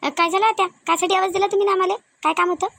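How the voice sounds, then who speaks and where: Woman as a young cartoon daughter-in-law, high-pitched, Varhadi Marathi accent, defensive, reluctant, dry sound. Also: fairly even intonation, racing, vocal fry, fairly bright tone, dry recording, full character voice. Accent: Varhadi Marathi (Vidarbha). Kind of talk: comedic cartoon dialogue.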